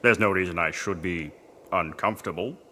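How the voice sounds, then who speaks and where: A man's voice: deep voice, normal speed, bright, forceful tone, slight background noise. A man acting a pompous, lazy, grumbling archaeologist with a fussy manner in a comic audio drama. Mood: smug. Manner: fussy, self-important.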